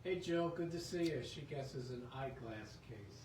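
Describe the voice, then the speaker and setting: A man's voice: medium pitch, lively intonation, a little slow, darkish tone. Distant man reading comments. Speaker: co-host reading viewer comments. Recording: roomy, off-mic, noisy.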